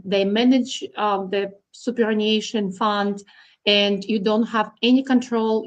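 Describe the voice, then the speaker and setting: A middle-aged woman from Russia, a guest accountant, explaining finance plainly with frequent ums and uhs. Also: medium pitch. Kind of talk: explaining to an interviewer.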